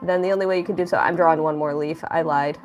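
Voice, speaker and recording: A woman's voice: low voice, steady tone, quick pace, soft background music. Upbeat woman chatting on a livestream. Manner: chatty, upbeat, thanks viewers and reads chat aloud. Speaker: livestreaming artist. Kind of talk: casual livestream chat.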